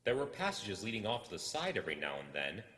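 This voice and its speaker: A deep-voiced man narrates a tale with a lively, swinging intonation. His delivery is measured and dramatic, pausing deliberately between phrases. The sound is roomy, with a long reverberant tail. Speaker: audiobook reader. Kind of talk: expressive storybook narration.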